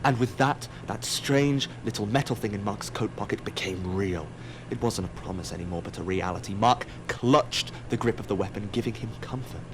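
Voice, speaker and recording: An actor playing a wry, ominous narrator of a sci-fi audio drama, dramatic and sardonic. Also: male voice, lowish voice, normal speed, background noise, natural voice. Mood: tense, ominous. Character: wry, ominous, all-seeing storyteller of a sci-fi tale.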